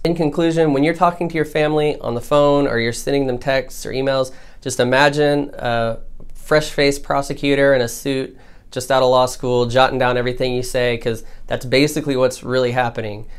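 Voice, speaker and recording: A man's voice: medium pitch, clean tone. An American man, a defense lawyer, presenting an informal legal explainer in a plain-spoken, colloquial, instructive way.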